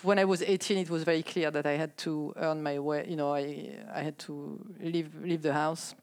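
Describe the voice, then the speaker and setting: A woman in her sixties from France, with a French accent, telling her life story as a podcast guest in a soft, hesitant way with frequent small laughs. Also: deep voice, fairly even intonation, normal speed. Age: 60s. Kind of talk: casual chat.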